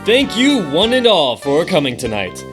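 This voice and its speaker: A man's voice: fairly high pitch, huge pitch swings, moderate pace. Man acting a theatrical stage magician, music behind. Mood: grand showmanship. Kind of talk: audio drama acting.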